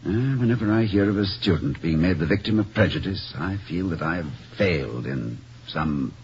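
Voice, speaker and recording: An actor in an old-time radio comedy-drama playing a kindly, formal, slightly pompous college president who speaks in wordy, gently wry sentences. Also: male voice, low-pitched, expressive, moderate pace. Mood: grave, troubled. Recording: muffled, noisy old radio recording.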